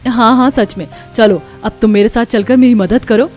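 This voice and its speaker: A woman's voice: on the high side, moderate pace, darkish tone, faint music behind, lightly acted. A woman voicing a kind, humble, hardworking young village mother in a cartoon. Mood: cheerful.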